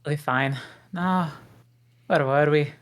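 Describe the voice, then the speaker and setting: Woman voicing a grumpy male ogre, low voice, sarcastic, gruff, table read. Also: takes it slowly. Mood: tender. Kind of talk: table read of a film script.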